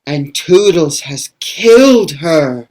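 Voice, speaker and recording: A woman's voice: low voice, expressive, slow, darkish tone. A woman playing a wide-eyed, dreamy young boy in an audio play, telling things earnestly. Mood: solemn.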